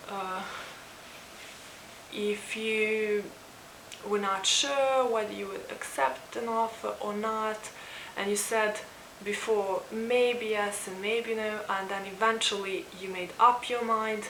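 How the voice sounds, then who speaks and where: A woman with a Serbian accent giving an informal language lesson, deliberately repeating phrases for learners. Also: mid-range voice, a bit bright. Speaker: language teacher presenting a lesson. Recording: roomy echo with faint background hiss.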